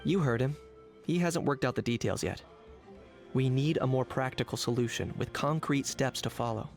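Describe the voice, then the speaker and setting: Guy as cool laconic young game warrior, matter of fact, medium pitch, soft music behind. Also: normal speed, lightly acted. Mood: businesslike. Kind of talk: video game voice acting.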